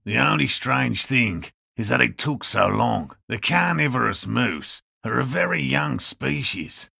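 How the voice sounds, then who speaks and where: A man playing an earnest, slightly defensive cryptozoologist in a video game, with a raspy, gravelly voice and a measured, academic, matter-of-fact manner. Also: medium pitch, pushed and bright, no room sound, clean studio sound, full character voice. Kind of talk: video game character dialogue.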